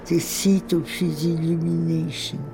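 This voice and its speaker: An older woman from Lebanon reading her own literary text in a measured, contemplative, poetic way. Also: low voice, little pitch movement, vocal fry, poor audio. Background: music.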